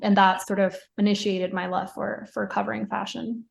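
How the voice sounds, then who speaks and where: A young woman from Puerto Rico talks through her answers in a relaxed interview. Her pitch stays flat and even, and she keeps adding fillers and quick restarts. Her laughs come often and lightly. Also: creaky. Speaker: podcast guest, fashion writer.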